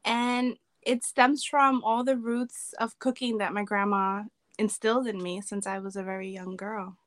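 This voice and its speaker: A woman's voice: medium pitch, steady tone, measured pace, fairly bright tone, no room sound. A woman from the Bronx, New York, talking casually as a podcast guest and slipping Spanish phrases into her English. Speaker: podcast guest, catering business owner. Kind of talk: casual interview chat.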